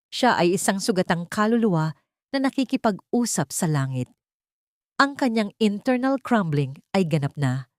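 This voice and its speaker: A woman narrator delivers scripted drama in a somewhat low register. The recording is very dry, with the voice free of room sound. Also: a little mellow, no echo. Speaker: audio story narrator.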